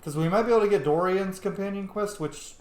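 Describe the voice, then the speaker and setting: A man's voice: high voice, fast, darkish tone. A man giving casual, rambling, profane commentary as he streams a video game. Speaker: gameplay streamer. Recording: roomy echo, some background noise.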